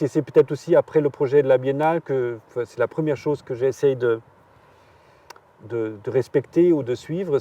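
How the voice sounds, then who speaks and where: A man's voice: medium pitch, dark tone, distant mic, a little hiss or hum. A middle-aged man from southern Germany, a curator giving reflective interview answers, often hesitating and restarting. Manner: hesitant, many fillers and restarts. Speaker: artist and biennial curator.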